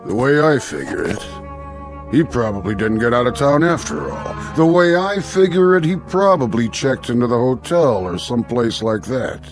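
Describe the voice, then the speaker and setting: An actor playing a folksy, self-important Old West sheriff with an American Western drawl in an audio drama. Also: male voice, mid-range voice, normal speed, faint music behind, close to an everyday voice. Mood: puzzled, probing. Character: folksy, slow-witted Old West sheriff, proud of his reasoning.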